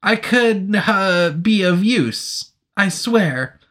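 A man's voice: high voice, animated, extremely slow, a bit bright, pitched above the performer's own voice, heavily acted. A man doing a stammering, twitchy little soldier. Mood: nervous stammering. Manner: stammering, hesitant, pleading. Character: twitchy, nervous, underfed low-ranking male soldier.

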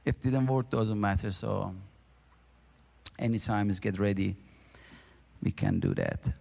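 A man's voice: on the low side, creaky. Man preaching in a reverberant hall. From Iran, near the Turkish border. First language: Azeri. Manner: earnest, halting non-native English, grows animated while telling his story. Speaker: guest speaker. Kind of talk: church testimony and talk.

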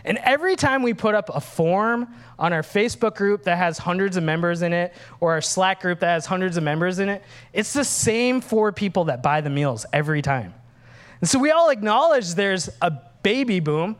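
A man's voice: high voice, moderate pace. A man, preaching a candid, humorous sermon as pastor. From Minnesota, USA. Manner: animated, candid, humorous, acts out dialogues.

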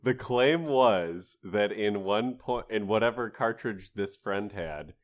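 A man's voice: on the low side, animated, slow. A man hosting a podcast, telling things in a casual, conversational storytelling way. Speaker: podcast host.

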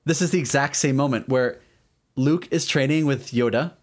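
A man with an average-pitched voice co-hosts an informal discussion. He recaps scenes in everyday language and acts out short lines from the characters along the way. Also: normal speed. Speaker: podcast co-host. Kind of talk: casual chat, retelling scenes from shows and films.

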